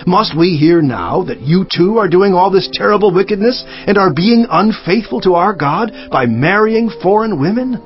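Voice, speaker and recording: A man playing a stern, devout governor of ancient Judah in a dramatized scripture audiobook, speaking solemnly with emphatic, measured phrasing. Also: high-pitched, lively intonation. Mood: stern, indignant. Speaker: audiobook narrator. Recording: slightly muffled, music underneath. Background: background music.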